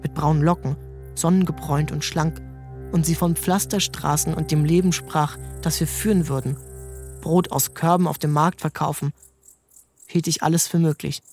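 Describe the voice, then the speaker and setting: A man narrating a literary story in a calm, measured way. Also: on the high side, soft background music. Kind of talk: scripted narration.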